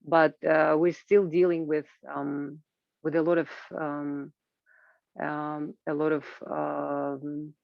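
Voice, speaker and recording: Halting woman, creaky voice, muffled call. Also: low-pitched, little pitch movement, no echo. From Kazakhstan. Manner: hesitant, halting, with many filled pauses. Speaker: invited panel speaker. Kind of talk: informal spoken presentation at an online roundtable. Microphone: video call microphone. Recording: muffled, band-limited video call audio.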